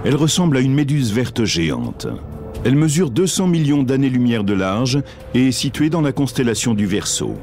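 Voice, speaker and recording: Lively male documentary narrator over music. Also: medium pitch, fairly quick. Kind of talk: scripted science documentary narration. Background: music behind the voice.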